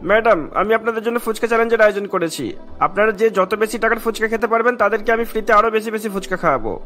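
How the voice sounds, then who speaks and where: A man's voice: high voice, fast, a bit bright, soft background music. A man voicing an eager, ingratiating male street snack seller in a cartoon, talking like a salesman. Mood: eager, reassuring. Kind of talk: cartoon voice acting.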